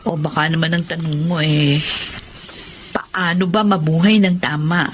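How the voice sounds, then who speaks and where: Casting: thoughtful, loyal Filipino wife in an audio melodrama, slight background noise. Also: female voice, low-pitched, steady tone, unhurried. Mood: gentle, reflective. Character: devoted, philosophical wife of a poor man. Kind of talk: Filipino radio-style drama acting.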